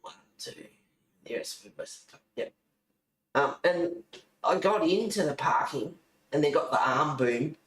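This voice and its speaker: A man's voice: on the high side, expressive, measured pace, a bit bright. Roomy-sounding Australian man, rambling casually. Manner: rambling, easily sidetracked. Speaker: host of a colouring livestream. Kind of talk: casual chat. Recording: roomy echo, faint noise.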